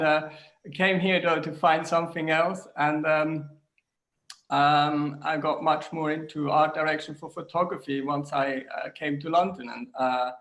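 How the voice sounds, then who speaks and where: Man from Switzerland, English speaker, halting speech full of ums, home kitchen, video call. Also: on the high side, gravelly, a bit bright, poor audio. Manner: hesitant, many fillers and restarts. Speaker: designer, interview guest. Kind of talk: casual video-call interview.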